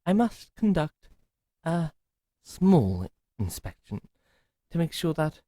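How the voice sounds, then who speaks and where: Brief: man, theatrical creepy butler type, lively swooping pitch, dry close mic. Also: slow, no echo. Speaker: servant called Happiness greeting a captive. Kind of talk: ASMR roleplay acting.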